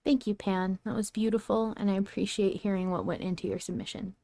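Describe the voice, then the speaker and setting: A woman's voice: mid-range voice, fairly even intonation, fairly quick, creaky, warm tone. A woman hosting a podcast in a casual, conversational discussion style. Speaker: podcast host.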